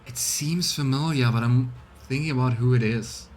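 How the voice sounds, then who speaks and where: A man streaming a video game, reading dialogue and narration aloud in a measured way with casual asides. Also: medium pitch, darkish tone, slight background noise. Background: game music.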